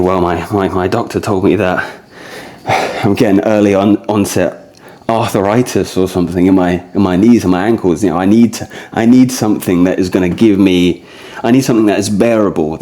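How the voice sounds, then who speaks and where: A man's voice: fairly low pitch, warm tone. A man in his early thirties talking casually and discursively to listeners as a fitness vlogger, addressing them directly. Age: early 30s. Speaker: fitness vlogger leading a core routine. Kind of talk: casual rambling talk to camera.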